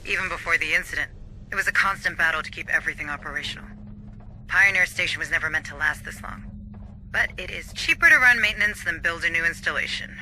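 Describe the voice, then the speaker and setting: Bright, brisk station administrator. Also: female voice, lowish voice, a little hiss or hum, poor audio. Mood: dry, reassuring. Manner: matter-of-fact, instructive. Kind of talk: video game military drama.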